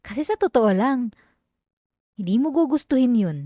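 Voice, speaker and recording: Young filipina doing a possessive yandere classmate girl, highish voice, intimate dramatic asmr acting, super dry close mic. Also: lively intonation, moderate pace, dark tone, no room sound, muffled recording. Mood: menacing, possessive. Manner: intimate, pleading turning coldly threatening, dramatic. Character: obsessive yandere female classmate, clingy, wounded, menacingly possessive. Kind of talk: ASMR roleplay acting, addressing the listener. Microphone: close microphone, dry sound.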